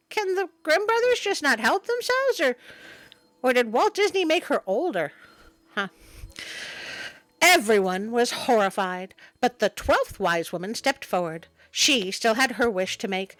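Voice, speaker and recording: A woman's voice: fairly high pitch, fairly bright tone, dry recording. A woman hosting a story show, reading a tale aloud with playful, wry asides.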